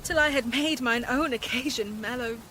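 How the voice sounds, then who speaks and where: An actress playing an earnest, quick-witted young shipwrecked noblewoman in a Shakespearean radio drama. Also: a little high, natural voice. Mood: earnest resolve. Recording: noisy outdoor ambience behind voice.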